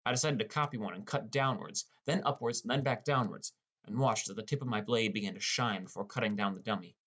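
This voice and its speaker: A man's voice: fast talker, fairly bright tone. A man reading a first-person fantasy story as an audiobook narrator. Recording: rumbly background noise.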